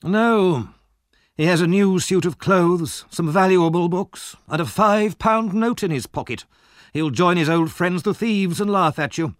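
A man voicing a fierce, argumentative old gentleman in a storybook, scornful and challenging. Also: sits high, unhurried, no echo, clean studio sound, voice pushed up. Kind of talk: audiobook character reading.

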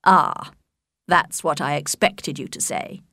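A woman voicing a proud, reserved young gentleman in a storybook, measured, polite and faintly disdainful. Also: low-pitched, no room sound, clean studio sound, pitched below the performer's own voice, heavily acted. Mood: composed, dry.

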